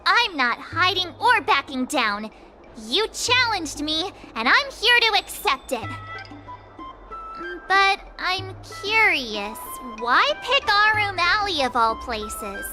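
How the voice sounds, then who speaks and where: Bubbly, very high-pitched teenage swordswoman. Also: big pitch swings, slow pace, over music, exaggerated voice. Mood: resolute. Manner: perky, self-encouraging, exclaiming. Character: bubbly, eager teenage girl swordplay student and aspiring hero. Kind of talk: anime-style video game voice acting.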